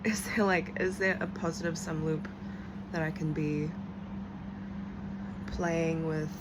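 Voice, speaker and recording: A woman's voice: sits low, steady tone, slow pace. Rambling New Zealand woman, traffic noise. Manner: rambling, full of "like", trailing off. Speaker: vlogger. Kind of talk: late-night confessional vlog. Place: in bed at home at night.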